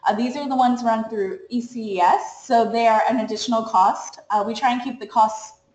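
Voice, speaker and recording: A woman's voice: on the high side, moderate pace, a little mellow. Upbeat woman presenting in an echoey room. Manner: upbeat, explanatory walk-through of slides. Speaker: study abroad program coordinator. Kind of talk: webinar presentation.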